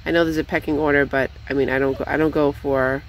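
A young woman chatting casually while she feeds her backyard chickens, rambling and cooing at them in a high voice, recorded on a handheld phone outdoors. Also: flat delivery, fast talker, slight background noise, low-quality recording. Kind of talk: casual off-the-cuff vlog chat.

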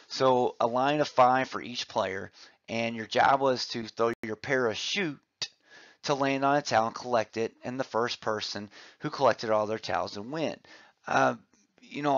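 A man presents as a gym teacher, chatting informally and with enthusiasm over slides. His voice is middling in pitch with a good deal of creak. The recording is dry and slightly dull. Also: a bit bright, dry recording. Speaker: physical education teacher, presenter. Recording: slightly muffled, dull audio.

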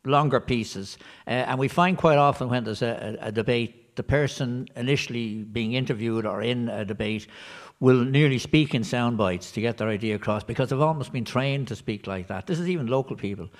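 A man's voice: medium pitch, brisk. A middle-aged man answering a question on a conference panel in a function room, reflective and hesitant with restarts. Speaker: panel member for community radio.

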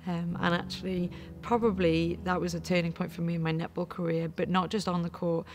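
A woman in her thirties, a netball captain, speaking thoughtfully with halting fillers in a seated interview. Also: lowish voice, level tone. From Wales. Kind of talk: reflective interview. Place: studio before a backdrop.

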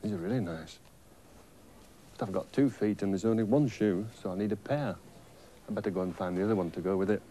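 Male actor as courteous older English boatman, relaxed mellow delivery, children's drama. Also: lowish voice, brisk, long pauses, natural voice. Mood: polite. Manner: musing aloud, courteous. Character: genial, polite older boatman.

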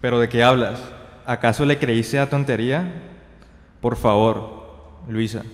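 A proud young brother in a radio play, acted by a man, impatient and dismissive. His mid-pitched voice reads a little stiffly in a reverberant space. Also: steady tone, measured pace, close to an everyday voice. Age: young adult. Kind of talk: amateur radio drama acting. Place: indoor green-screen studio. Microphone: handheld dynamic microphone held close. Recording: reverberant hall-like echo.

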